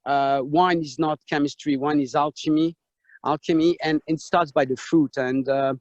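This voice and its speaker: A man with a French accent speaks from outdoors in a vineyard, halting and full of fillers as he guides a tour. His voice sits a little high, and it comes through a dull, lo-fi video call. The sound is dry and close. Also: fairly even intonation, no echo. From France. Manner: halting, many fillers and restarts. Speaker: winemaker. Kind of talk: live video tour and tasting talk. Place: outdoors in a vineyard on a hot day.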